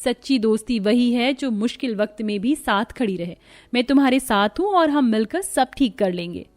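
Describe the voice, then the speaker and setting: A woman's voice: fairly high pitch, expressive, moderate pace, no echo, close to an everyday voice. A woman voicing a humble, patient young wife and loyal friend in a moral cartoon story. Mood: forgiving, reassuring. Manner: gentle, contented, earnest.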